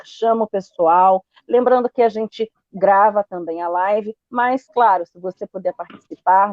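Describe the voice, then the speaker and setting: Brazilian woman hosting, dark dull sound. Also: lowish voice, dry recording. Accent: Brazilian Portuguese. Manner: repetitive, warm-up style, many fillers like "né" and "portanto". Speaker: podcast host. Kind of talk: hosting a live video chat.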